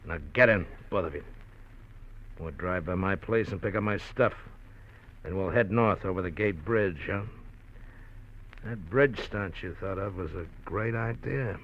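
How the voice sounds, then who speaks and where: Brief: a menacing 1950s radio-play gangster, low smug threats, muffled old broadcast. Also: male voice, unhurried. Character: menacing male small-time gangster, middle-aged. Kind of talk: 1950s radio drama acting.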